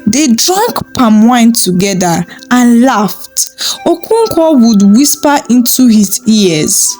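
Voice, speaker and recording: A woman narrating a dramatic folktale in a slow, expressive way. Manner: slow, expressive, rising pitch on key moments. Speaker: storyteller. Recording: with background music.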